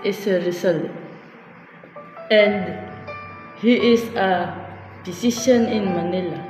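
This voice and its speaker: A woman playing a hesitant, polite introducer at a legation in an amateur radio drama, with a halting, broken-up delivery. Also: medium pitch, a little mellow. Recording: echoey long reverb, faint music.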